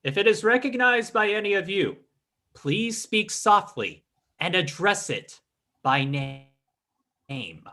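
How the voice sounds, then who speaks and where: Man playing a slow, theatrical seance mystic. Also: high-pitched, sing-song, sharp tone, heavily acted. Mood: solemn. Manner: grandiose, theatrical, measured intoning. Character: solemn, mysterious mystic leading a seance. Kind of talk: old-time radio drama acting.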